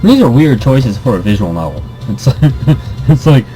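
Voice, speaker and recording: A man's voice: mid-range voice, big pitch swings, normal speed, dark tone, soft background music. A man hosting a casual let's play, reading visual novel text aloud with commentary and often stumbling and repeating words. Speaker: gaming video host.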